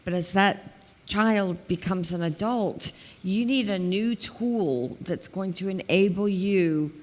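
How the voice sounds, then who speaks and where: Woman, 50s, elder law attorney, big slow voice, lower pitch, long hall reverb on a headset mic. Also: a little mellow. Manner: plain-spoken, explains step by step with examples. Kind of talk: community talk. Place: large hall, indoors.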